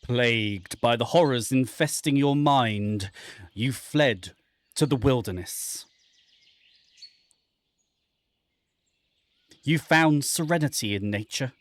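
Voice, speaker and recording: A man's voice: medium pitch, no echo, natural voice. Man playing a brooding, haunted man of the wilderness. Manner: dramatic, literary, deliberate. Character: anguished guilt-ridden man fleeing into the wilderness, brooding. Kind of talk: dramatic reading of a horror visual novel.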